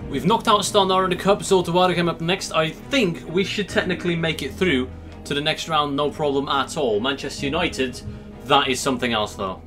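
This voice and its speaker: A man's voice: a little high, moderate pace. A young man from Belgium with a Belgian Dutch accent, commentating a football game simulation in an upbeat, chatty way. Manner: upbeat, chatty, swings between excitement and gloom. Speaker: gaming YouTuber. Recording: music playing underneath.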